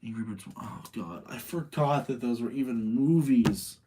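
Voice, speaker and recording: A man's voice: mid-range voice, moderate pace, noisy background. A tired man hosting a casual live rating session, chatting with his audience, rambling and thinking aloud as he gives his opinions. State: tired late at night.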